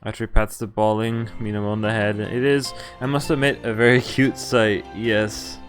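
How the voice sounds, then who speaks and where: A man's voice: lowish voice, little pitch movement, normal speed. A man live-streaming a visual novel, reading the dialogue and narration aloud with playful, casual asides. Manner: playful, breaks into laughs and asides while reading. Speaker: game streamer. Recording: music behind the voice. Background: game background music.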